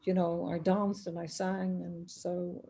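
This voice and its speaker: Mellow-voiced Dutch-born woman, rambling. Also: lowish voice, little pitch movement, a little slow, creaky. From Netherlands. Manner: rambling, restarts often, trails off. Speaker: guest, singer and writer. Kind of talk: remote interview, conversational storytelling. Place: home in Dublin, near a busy road.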